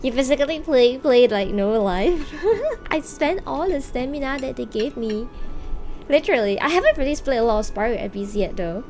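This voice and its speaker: High-voiced woman chatting playfully on stream. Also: lively intonation, normal speed, a little mellow. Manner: playful, informal gamer slang with swearing. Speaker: live game streamer. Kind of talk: casual livestream chat with viewers. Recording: music behind the voice. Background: video game soundtrack.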